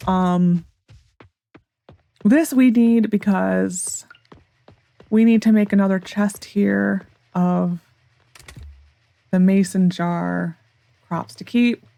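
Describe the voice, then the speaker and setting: A young woman with a hoarse, sore voice, rambling through casual gameplay commentary with frustrated sarcasm. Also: mid-range voice, fairly even intonation, very slow, pauses often, mellow, dry recording. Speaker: gaming YouTuber.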